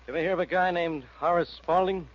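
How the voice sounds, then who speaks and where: Casting: male actor as accusing cop, rapid-fire and fairly high-pitched, gruff, sarcastic, old radio crime serial. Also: steady tone, lightly acted. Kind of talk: 1940s radio drama acting. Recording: muffled, hissy vintage radio recording.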